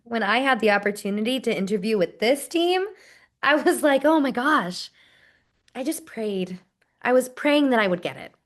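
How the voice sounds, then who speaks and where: A friendly, dedicated program associate played by a woman with a somewhat high voice. She talks in a chatty, enthusiastic way, as if telling her own story in a dramatized interview. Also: lively intonation, moderate pace, natural voice. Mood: earnest, passionate. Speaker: performer portraying a university program associate. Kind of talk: dramatized first-person interview narrative, conversational.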